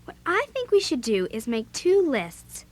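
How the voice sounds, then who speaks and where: A woman's voice: sits high, wildly swooping pitch, unhurried, heavily acted. A young woman playing a bossy, outspoken American girl in a children's audio drama, with blunt, emphatic delivery. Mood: eager, organizing.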